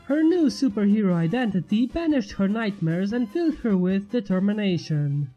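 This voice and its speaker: A woman's voice: medium pitch, lively intonation, normal speed, dull audio, faint music behind. A woman narrating fan fiction as a channel host, reading scripted prose expressively.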